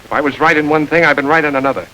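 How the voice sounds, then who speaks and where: An actor playing a cool, self-assured gang boss in an old crime film, speaking with sardonic calm. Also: male voice, a little high, very fast, low-quality recording. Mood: commanding. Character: smooth confident gang boss, middle-aged man. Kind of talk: 1930s film acting. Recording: old film soundtrack with faint hiss.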